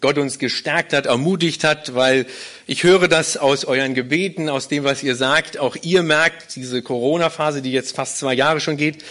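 Bright, higher-voiced man in his forties. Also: moderate pace. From Berlin, Germany. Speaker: guest pastor leading a small church plant. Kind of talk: live interview, personal testimony to a congregation.

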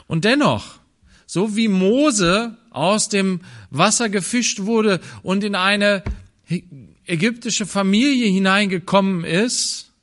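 German guy preaching, fairly high voice, thoughtful, lots of pauses and restarts. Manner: thoughtful, pauses and restarts, drops to quiet low asides. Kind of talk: sermon.

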